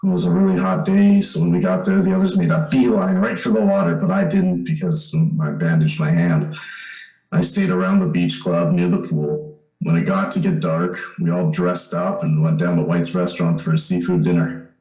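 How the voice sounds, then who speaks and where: A man's voice: deep voice, normal speed, gravelly, a little mellow. A man acting an eager, uneasy young man in an old-time radio drama, with boyish, hesitant slang. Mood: reminiscing. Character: eager, uneasy young man back home, telling his story. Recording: roomy echo, music behind.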